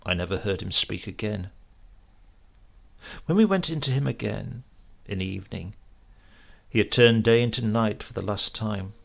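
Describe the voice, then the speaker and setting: A man narrating a literary short story in a measured, descriptive manner. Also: on the low side, expressive, gravelly. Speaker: audiobook narrator.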